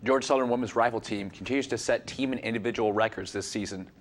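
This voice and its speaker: A man reporter reading a quick, scripted news intro. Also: on the low side, a little hiss or hum.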